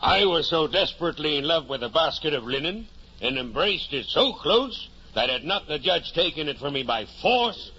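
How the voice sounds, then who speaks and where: An actor playing an unrepentant, wry petty thief in a theatrical 1950s radio drama manner. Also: male voice, a little high, big pitch swings, moderate pace, pushed and bright, breathy edge, heavily acted. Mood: wry, jaunty. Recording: muffled, hissy old radio recording.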